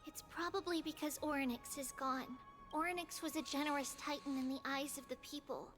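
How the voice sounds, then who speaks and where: An actress playing a gentle, thoughtful young woman in a game, speaking in a soft, measured way. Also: high voice, steady tone, soft background music. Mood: somber.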